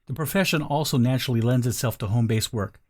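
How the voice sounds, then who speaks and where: Guy doing a sales video voiceover, mid pitched voice, conversational but pitchy and persuasive like a scripted pitch. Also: quick pace, darkish tone. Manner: conversational, persuasive, rhetorical questions. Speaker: sales video voice-over narrator.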